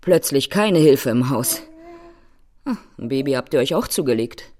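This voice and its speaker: An actress playing a bitter, domineering older mother-in-law in a radio drama, sneering and theatrical, with a husky voice. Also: rumbling low, big pitch swings, normal speed, darkish tone, heavily acted. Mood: cold scorn. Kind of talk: German radio drama acting.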